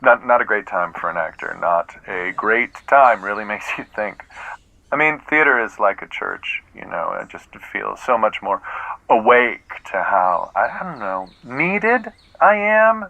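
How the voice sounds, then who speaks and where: An actor playing a self-important, eager, rambling out-of-work stage actor in a comedic audio play, theatrical, effusive and earnest. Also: male voice, lowish voice, lively intonation, a little slow, gravelly, pushed and bright, no echo, muffled recording, exaggerated voice. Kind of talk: naturalistic comedic audio drama.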